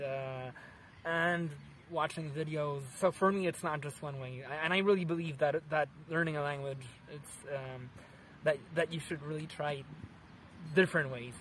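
A man in his thirties from Poland with a Polish accent, answering interview questions in a park with lively gestures and many restarts. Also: fairly high pitch, a little hiss or hum, rough recording. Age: 30s. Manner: animated, gesturing, frequent restarts. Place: outdoors in a sunny park.

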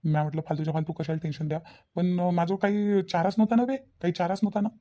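Man voicing an exasperated, fast-talking young cartoon husband. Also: sits high, steady tone, warm tone, close to an everyday voice. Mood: self-justifying. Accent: Varhadi Marathi (Vidarbha). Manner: self-justifying, repetitive, emphatic. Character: exasperated, scheming newly married young husband. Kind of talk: village cartoon dialogue.

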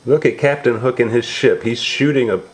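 A man reading a picture book aloud to young children, explaining it in simple, repeated, drawn-out words. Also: mid-range voice, a little hiss or hum. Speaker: storybook reader for young relatives.

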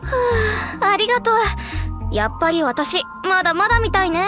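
Very high, eager young girl. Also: expressive, normal speed, over music. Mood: earnest. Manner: eager, earnest. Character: earnest teenage girl training to be a knight. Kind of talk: anime game dialogue.

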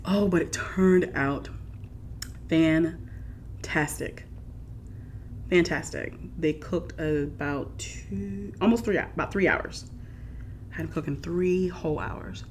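A middle-aged woman hosting a casual mukbang vlog at home, lively and chatty, sometimes dropping to soft whispers. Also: low voice, slow pace, pauses often, slight background noise. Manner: animated, shifts to soft whispers while eating. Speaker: food vlogger. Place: living room at home, indoors.

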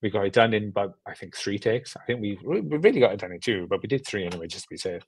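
A man's voice: lowish voice, animated, quick pace, darkish tone, slightly rough, no room sound. A young man talking casually and informally as an interview guest, in an easygoing way. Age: young adult. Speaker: film director, interview guest. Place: indoor room at home, on a video call.

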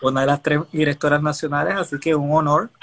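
Middle-aged Puerto Rican man, higher-pitched voice. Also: steady tone, fast, fairly bright tone. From Puerto Rico. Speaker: host, interviewer. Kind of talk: casual live interview chat. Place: indoor living room. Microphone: phone at arm's length, video call. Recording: noisy phone video call, clicks.

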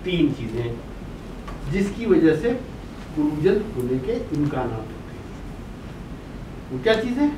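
Older pakistani prof lecturing thru a mask, asks the class stuff then answers himself, pitch all over the place. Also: male voice, very animated, unhurried, pauses often. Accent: Pakistani Urdu. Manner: talks through a face mask while writing on the board. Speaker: professor lecturing on boiler chemistry. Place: brick-walled meeting room indoors. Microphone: distant room mic. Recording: echoey room from far off with noise.

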